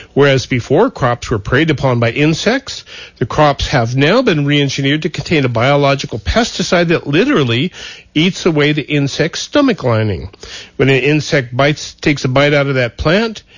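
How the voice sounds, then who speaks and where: A man hosting a radio talk show, telling a wry, folksy story with halting restarts. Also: on the high side, no echo. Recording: dull lo-fi radio broadcast.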